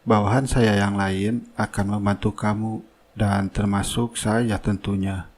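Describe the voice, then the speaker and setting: Man playing a calm, assured wealthy boss. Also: fairly low pitch, measured pace. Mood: assured, generous. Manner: formal, calm, authoritative. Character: wealthy company boss, generous, assured, fatherly. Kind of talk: cartoon drama dialogue.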